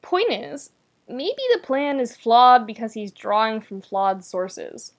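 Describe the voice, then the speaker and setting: Higher-voiced woman reading a story. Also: very animated, slow pace. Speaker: podfic reader, narrator. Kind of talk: scripted narration of fan fiction, expressive audiobook reading.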